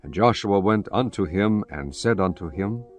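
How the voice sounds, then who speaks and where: A man narrating scripture in measured, steady King James English. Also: lowish voice. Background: guitar music.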